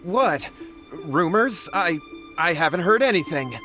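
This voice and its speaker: A man's voice: a little high, animated, unhurried, pushed and bright, faint music behind. A man playing an earnest young martial artist in an anime game, anxious and deferential, with a polite, hesitant, pleading manner. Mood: flustered.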